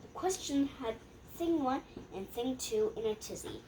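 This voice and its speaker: A young girl reading a rhyming picture book aloud in a halting way, stumbling and correcting herself. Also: high-pitched, expressive, noisy background. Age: child.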